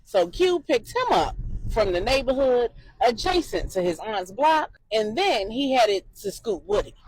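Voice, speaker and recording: A woman storyteller reading a scripted crime story with expressive delivery. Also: fairly high pitch, slight background noise, rough recording.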